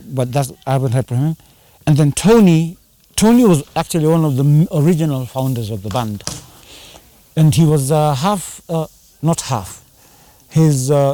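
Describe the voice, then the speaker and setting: A man's voice: mid-range voice, dark tone, dry recording. A man chatting casually in an interview, rambling and slipping into Swahili slang. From Kenya. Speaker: band singer and drummer.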